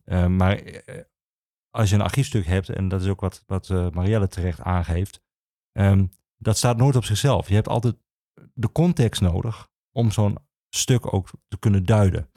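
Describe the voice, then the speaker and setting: Low, mellow, creaky-voiced man. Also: steady tone, moderate pace, no echo, clean studio sound. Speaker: regional archivist. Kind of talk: conversational interview answers.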